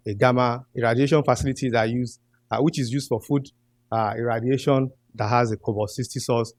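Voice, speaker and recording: A man's voice: mid-range voice, dry recording. Young nigerian guy at a podium mic, dry sound, lots of ums. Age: young adult. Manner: hesitant, many ums and uhs. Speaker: graduate student presenter. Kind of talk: conference presentation. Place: conference hall at a podium.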